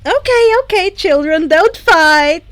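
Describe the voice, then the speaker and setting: A woman's voice: very high-pitched, animated, takes it slowly, fairly bright tone, dry recording, pitched above the performer's own voice, exaggerated voice. Woman as a perky, bossy young boy streamer. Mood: mock scolding. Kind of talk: fan fiction character reading.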